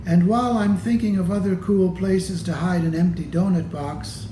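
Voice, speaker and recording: A man reading a children's storybook aloud in a deliberate, expressive way, addressing young listeners. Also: high voice. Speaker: storybook reader. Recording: echoey room with clear background noise.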